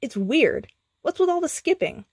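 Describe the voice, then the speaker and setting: Woman doing a high-pitched cheeky slacker guy. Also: very animated, moderate pace, darkish tone, no echo. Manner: casual, teasing, slangy.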